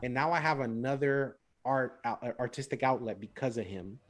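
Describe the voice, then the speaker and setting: A man's voice: mid-range voice, moderate pace. Rambling man casually co-hosting a podcast. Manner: rambling, repeats words, mixes in Spanish phrases. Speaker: podcast co-host. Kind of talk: casual chat.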